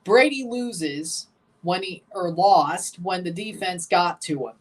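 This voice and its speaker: Man, low voice, young adult, blunt livestream commentary, a bit off-mic, voice leaps up when worked up. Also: takes it slowly, distant mic. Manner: opinionated, blunt hot takes; excitable, jumps high in pitch when agitated. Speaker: livestream host reacting to a soccer match. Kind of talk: casual livestream commentary, answering chat questions.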